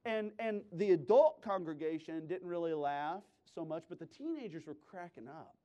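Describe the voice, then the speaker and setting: Male preacher, high voice, emphatic delivery with sudden loud bursts and wry, joking storytelling. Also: lively intonation, normal speed, highs cut off. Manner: playful, self-deprecating, sarcastic asides. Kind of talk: animated sermon with humorous storytelling.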